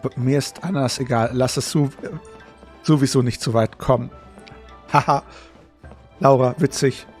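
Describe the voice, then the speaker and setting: A man's voice: medium pitch, steady tone, moderate pace, long pauses, a little mellow, soft background music. A man chatting casually as he hosts a live gaming stream.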